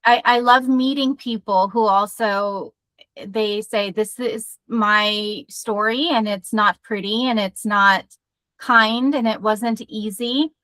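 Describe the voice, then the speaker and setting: A woman's voice: mid-range voice, steady tone, takes it slowly, a bit bright, no echo. A woman therapist hosting a podcast, talking in an upbeat, chatty way over a video call. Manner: upbeat, chatty, laughs easily. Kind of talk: casual interview chat.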